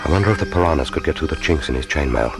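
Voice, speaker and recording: An actor playing a vengeful, sardonic lone secret agent thinking aloud in a radio play. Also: male voice, rumbling low, expressive, very fast. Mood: grimly watchful. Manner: muttering internal monologue, sardonic. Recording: loud music behind the voice.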